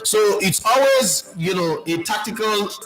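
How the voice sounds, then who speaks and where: A Nigerian man speaking as a football pundit, answering viewer questions in an animated, preachy way and mixing in pidgin. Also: high-pitched, a little slow, loud music behind the voice, poor audio. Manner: animated, preachy, lists players, mixes in pidgin.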